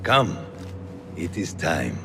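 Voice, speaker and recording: A man playing a kind, proud mentor of an assassin order in a cinematic game, with a gentle, formal manner. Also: low-pitched, big pitch swings, slow, vocal fry, background noise, heavily acted. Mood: proud, affectionate.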